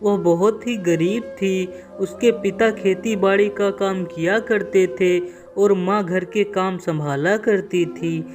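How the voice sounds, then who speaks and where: A woman's voice: medium pitch, steady tone, takes it slowly, darkish tone, soft background music. A woman narrating a scripted cartoon story as its storyteller.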